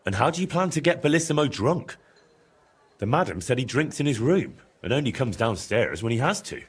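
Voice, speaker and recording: Husky, sceptical young adventurer. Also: male voice, medium pitch, sing-song, brisk. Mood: incredulous. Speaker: voice actor in a video game. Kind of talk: video game character dialogue.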